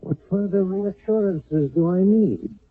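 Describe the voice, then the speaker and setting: A jealous husband in a vintage radio play, formal and cold, drawing out each slow word. His voice is husky and slightly high, ranging widely in pitch. The sound is dry and thin, like an old broadcast. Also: dry recording, narrow, tinny audio. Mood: cold, suspicious. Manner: stiff, formal, measured. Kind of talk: 1970s radio drama acting.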